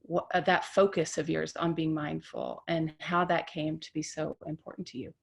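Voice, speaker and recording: A woman's voice: sits low, fairly even intonation, moderate pace, vocal fry, slightly rough. A woman interviewing a guest over a video call, asking encouraging questions. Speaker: interviewer for a professional society.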